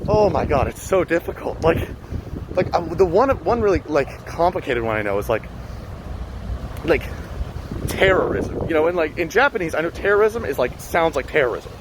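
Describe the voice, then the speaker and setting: A man's voice: fairly high pitch, big pitch swings, moderate pace. A young man chatting casually while walking city streets and vlogging, rambling and swearing now and then. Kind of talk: casual walking vlog talk. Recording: street noise behind.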